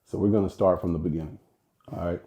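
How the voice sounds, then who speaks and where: A man's voice: sits low, fairly even intonation, fast, dark tone. A man hosting a podcast and interviewing his fiancée, with an upbeat manner. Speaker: podcast host.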